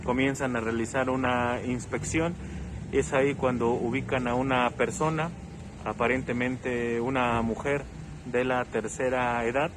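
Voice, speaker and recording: A man giving a steady, factual live news report on location outdoors, with a few fillers. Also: medium pitch, unhurried. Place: outdoors on a city street. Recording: noisy outdoor street recording. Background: street traffic noise.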